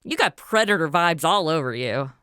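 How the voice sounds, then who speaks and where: A woman's voice: sits low, animated, moderate pace, sharp tone, clean tone, no room sound, studio quality. A woman playing a brash, sarcastic young American sidekick in a comedy audio drama, blunt and slangy. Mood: sassy, mocking. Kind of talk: comic audio drama acting.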